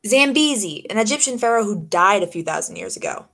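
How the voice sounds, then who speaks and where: A woman's voice: medium pitch, big pitch swings, brisk, a bit bright. A woman playing a wry, skeptical female private detective in the style of a 1940s radio drama. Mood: urgent, wry. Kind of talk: 1940s old-time radio detective acting. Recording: music behind the voice.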